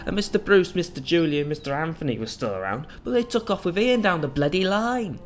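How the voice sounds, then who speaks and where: A man's voice: fairly high pitch, big pitch swings, brisk, faint music behind. A man playing an exhausted, dutiful railway engineer in an audio drama, speaking in a tired and earnest way. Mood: worried.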